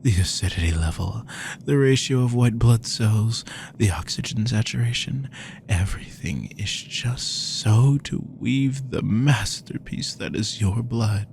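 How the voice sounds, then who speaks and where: A man voicing a possessive, powerful male vampire, speaking slowly with a gravelly voice and frequent whispers, menacing and obsessive, close to the mic. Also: fairly low pitch, a little mellow, slight background noise. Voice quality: gravelly, slow, often whispering. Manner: menacing, possessive, drawn-out delivery. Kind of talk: roleplay audio drama.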